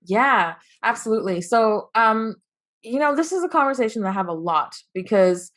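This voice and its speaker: A woman's voice: a little high, animated, normal speed, fairly bright tone. A young woman from Taiwan with an American accent, chatting as an interview guest, laughing often and restarting her sentences. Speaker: guest, entrepreneur. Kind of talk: casual interview chat.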